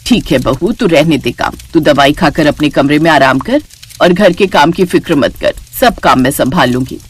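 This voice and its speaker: A woman's voice: deep voice, moderate pace, breathy edge, voice pushed down. A woman voicing an older mother-in-law in a family story, a firm, matronly head of the household who gives instructions. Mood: caring, firm.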